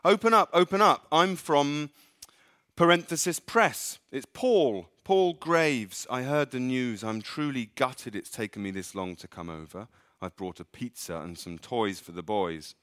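A man voicing a demon who poses as a friendly reporter, talking in a loud, hurried, wheedling way. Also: mid-range voice, dry recording, studio quality, pitched above the performer's own voice, natural voice. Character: demon faking a tabloid reporter, pushy and fake-friendly.

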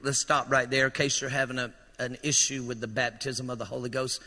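A man's voice: medium pitch, level tone, a little fast, pushed and bright. Man, pastor, fervent sermon, strained voice, echoing church hall reverb. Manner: fervent preaching, rousing calls to the congregation. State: voice strained from shouting. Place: church sanctuary.

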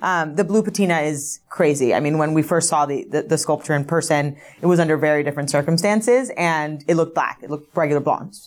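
A woman speaks excitedly about an artwork, leaning on "you know" and "like" as she talks. Her voice is on the lower side for a woman. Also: fairly quick, hardly any pauses. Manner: enthusiastic, filler-heavy, lots of "you know" and "like". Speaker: auction house specialist. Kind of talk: interview describing artworks.

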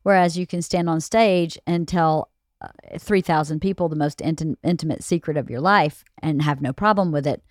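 Podcast co-host: woman, late forties, lower register, candid and reflective, close dry studio sound. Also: normal speed, no room sound. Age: late 40s. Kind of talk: casual intimate conversation.

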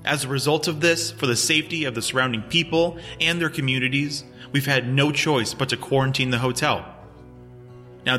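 A man's voice: mid-range voice, sharp tone, close to an everyday voice. An actor playing a stern, evasive governor in an audio drama, firm in his delivery. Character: embattled male governor, stern, evasive, foul-mouthed in private.

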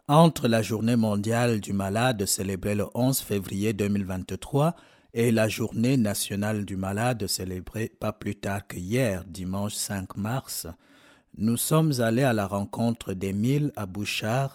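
A man's voice: lowish voice, darkish tone, no echo, clean studio sound. A man presenting a radio segment, reading a formal introduction. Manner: formal, read aloud. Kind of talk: scripted announcement.

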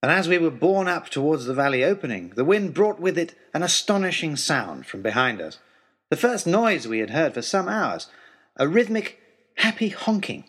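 Audio drama lead, male actor: earnest gentleman adventurer reading his letters, highish husky voice, animated and full of wonder. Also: moderate pace, full character voice. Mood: delighted. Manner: literary, wonderstruck, expressive storyteller. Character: Timothy Hope, earnest, eloquent gentleman adventurer writing letters home. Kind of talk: dramatised first-person letter narration.

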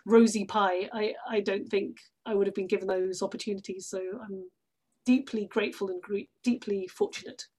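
A woman's voice: medium pitch, steady tone, moderate pace, a little mellow, slightly rough. A British woman talking reflectively in a conversational interview as a guest actress, with an easy laugh. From London, UK. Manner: laughs easily while talking.

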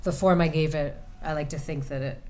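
A woman author answering audience questions about her novel, speaking thoughtfully with many pauses and restarts. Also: deep voice, fairly even intonation, dark tone, a little hiss or hum. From United States. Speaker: author at a reading. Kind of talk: book talk and audience Q&A. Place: event room with an audience.

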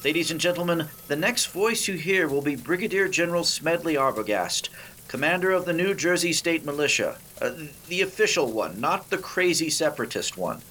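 A man plays a formal male announcer in a 1930s radio spoof. The voice is bright and slightly high, with a drily bemused delivery and faint hiss behind it. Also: animated, normal speed, rough recording.

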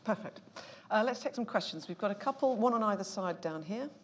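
A woman moderating a live event, briskly passing the microphone and encouraging people to ask questions. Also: mid-range voice, expressive, a little hiss or hum. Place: event stage before an audience.

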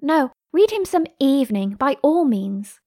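A woman's voice: sits high, sing-song, measured pace, warm tone, no room sound, clean studio sound, heavily acted. A woman playing a coquettish young lady in a classic novel reading, playful, arch and sweetly mocking.

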